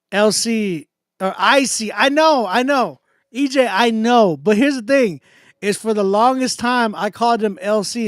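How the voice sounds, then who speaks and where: American male livestream host, high-pitched and unhurried, informal slangy hype, dry close sound. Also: clear, resonant tone, no room sound. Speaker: livestream host of a music contest. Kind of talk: casual livestream chat.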